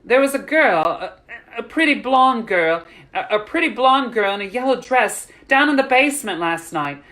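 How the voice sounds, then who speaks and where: A woman voicing a nervous, earnest young servant girl in a storybook, halting and stammering. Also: on the high side, expressive, fairly bright tone, pitched above the performer's own voice. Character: nervous, feral twelve-ish servant girl, blurting and earnest.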